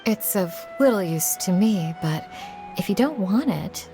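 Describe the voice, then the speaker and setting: A caring young village guardian from a video game, mid-pitched and expressive. She is calm, modest and kindly, with music playing behind her. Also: a little slow.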